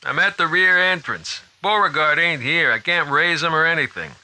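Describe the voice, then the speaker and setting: Guy doing whiny flustered night guard in an audiobook, way higher, super bright edgy voice, slangy. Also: moderate pace. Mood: flustered, defensive. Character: whiny, flustered male security guard on a radio.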